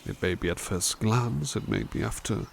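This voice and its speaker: A man's voice: on the low side, huge pitch swings, normal speed, creaky, a little hiss or hum, heavily acted. A man playing a kind, courteous butler who reads poems aloud and muses on them in a formal, polite way for an ASMR roleplay. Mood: musing. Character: kind, courteous male butler reading to listener.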